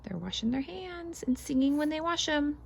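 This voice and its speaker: Woman, fairly high voice with creaky edges, very lively pitch, children's read-aloud, sing-song, expressive. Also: moderate pace, a little hiss or hum. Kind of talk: animated children's picture book read-aloud with casual asides.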